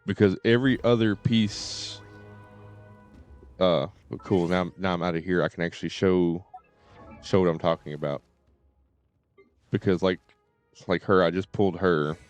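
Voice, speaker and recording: A man giving casual live game commentary, thinking aloud in a halting way and often repeating his words. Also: sits low, clear, resonant tone. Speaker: game livestreamer. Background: faint game music.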